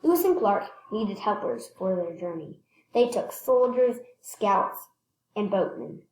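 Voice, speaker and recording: A woman reading a children's picture book aloud expressively, stressing words and pausing to point things out. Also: medium pitch, animated, a little mellow, roomy sound, rough recording. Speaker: read-aloud storyteller.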